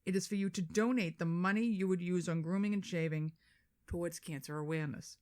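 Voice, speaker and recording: A woman's voice: fairly low pitch, fairly quick, a little mellow. A middle-aged woman co-hosting a podcast in casual, blunt chat, swearing freely and laughing often. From Boston area, USA. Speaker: podcast co-host.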